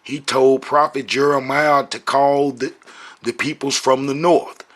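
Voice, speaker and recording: A man's voice: medium pitch, fairly even intonation, a little slow, a bit bright. A man giving impromptu religious commentary, preaching emphatically and repeating phrases for stress. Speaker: online religious teacher.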